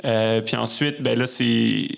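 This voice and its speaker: A man's voice: mid-range voice, fairly even intonation, slow pace, creaky. A man with a Québécois French accent talking casually as an interview guest, reflective and a little hesitant. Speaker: podcast guest, musician and storyteller.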